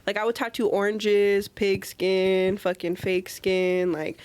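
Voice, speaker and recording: A woman's voice: medium pitch, level tone, slow pace, darkish tone, clear, resonant tone. A young woman, a guest tattoo artist, chatting casually on a podcast, loose and slangy with lots of "like" and frequent swearing. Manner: loose and slangy, heavy filler "like", swears freely.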